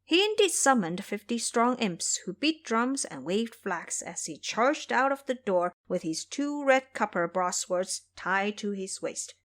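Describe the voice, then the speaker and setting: A woman's voice: mid-range voice, big pitch swings, unhurried, hardly any pauses, fairly bright tone. A woman narrator delivering dramatic scripted storytelling.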